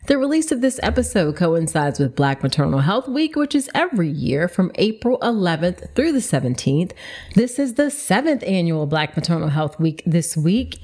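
A woman's voice: on the low side, darkish tone. A middle-aged woman, a doctor hosting a solo podcast, speaking from a script with conversational asides and sounding slightly stuffy from allergies. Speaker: podcast host and OB-GYN doctor.

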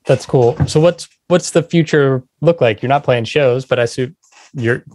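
A man's voice: medium pitch, normal speed, darkish tone. A man hosting a podcast, asking questions in a casual conversational style. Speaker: podcast host. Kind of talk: casual interviewing.

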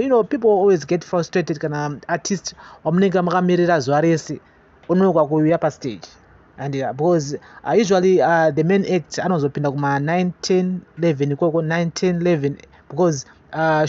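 A Zimbabwean man presents news reaction commentary in a fairly high voice. His delivery is halting, with repeated words. The recording is dull and lo-fi. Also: a little mellow. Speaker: online gossip channel host. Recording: dull, lo-fi voice-over.

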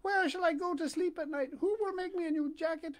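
A woman voicing a childlike wooden puppet boy in a storybook, with a plaintive, excitable, melodramatic manner. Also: extremely high pitch, brisk, voice pushed up. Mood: grieving, pleading. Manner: plaintive, melodramatic, breathy exclamations.

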